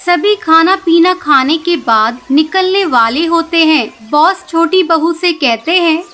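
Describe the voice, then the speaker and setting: A woman's voice: extremely high pitch, lively intonation, slow, a bit bright. A woman storyteller reading scripted narration for a cartoon moral tale. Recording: music behind the voice.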